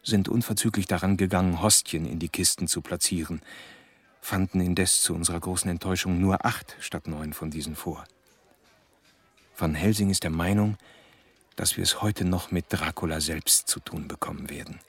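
Sober diarist for a radio horror play, very low pitch, measured and clipped. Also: male voice, fairly even intonation, breathy edge. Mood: sober, tense. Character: sober educated man narrating his diary. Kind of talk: scripted diary narration in German radio drama.

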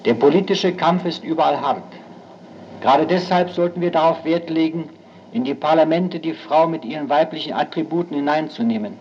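An actor playing an earnest male parliamentary delegate of the late 1940s giving a measured speech. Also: fairly high pitch, darkish tone, muffled recording, slight background noise, lightly acted. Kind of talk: acted speech from historical minutes.

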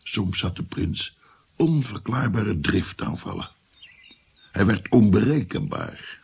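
A weary court physician narrating a radio drama. The voice is deep and very husky, with a gravelly creak. He tells his tale in a measured, sombre, confiding manner. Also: wildly swooping pitch, heavily acted. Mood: resigned. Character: weary male Renaissance physician recounting his past at court.

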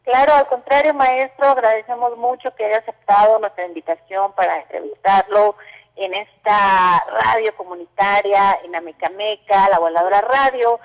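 A woman's voice: medium pitch, moderate pace, poor audio. A woman hosting a community radio interview, chatty and full of fillers. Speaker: radio host. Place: radio studio.

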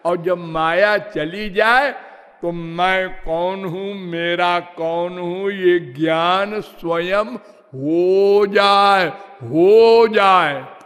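Man preaching really slow, high-ish voice, big echoey hall. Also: a bit bright. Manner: slow measured preaching, drawn-out words, half-sung phrases. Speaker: spiritual teacher. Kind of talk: devotional discourse. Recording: long hall reverb.

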